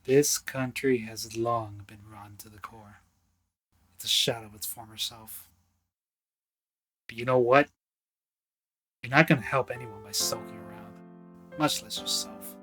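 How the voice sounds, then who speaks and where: A man playing a world-weary investigator in a radio drama, speaking in a grave, deliberate, dramatic way. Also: medium pitch, steady tone, a bit bright, husky, faint music behind. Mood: bitter, resolute.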